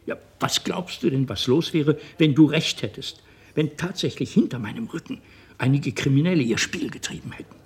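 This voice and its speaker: A man's voice: on the high side, lively intonation, moderate pace, gravelly, darkish tone, husky, exaggerated voice. Domineering middle-aged father, wildly swinging intonation. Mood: indignant. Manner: rhetorical, patronizing, swinging from reasoned to indignant. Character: domineering company boss father, self-righteous, manipulative, pleading. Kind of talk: German radio drama acting.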